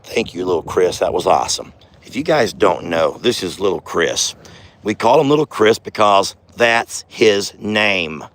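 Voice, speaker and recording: A hoarse, middle-aged man rambles in a folksy way as he films outdoors on his farm. His voice sits fairly low. A faint hiss of noise stays underneath. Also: lively intonation, measured pace. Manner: folksy, chatty, talks softly to his bull. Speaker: farm vlogger, channel host. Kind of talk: casual outdoor vlog. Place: outdoors on a farm by a bull pen. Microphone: handheld phone camera.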